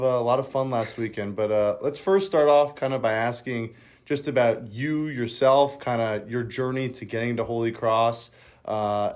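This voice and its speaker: A man's voice: fairly low pitch, a little mellow. A young man co-hosting a college sports podcast, asking loose, rambling questions full of "kinda" and "uh". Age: young adult. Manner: loose, filler-heavy questions with lots of "kinda" and "uh". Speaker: co-host, college pitcher. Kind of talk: casual podcast interviewing.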